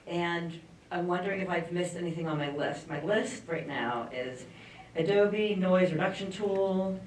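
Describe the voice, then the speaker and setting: A woman's voice: lowish voice, measured pace, slight background noise. A woman director in a panel audience, asking politely and a little unsure. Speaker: audience member, film director. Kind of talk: audience question. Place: festival panel hall. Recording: echoey room, far from mic.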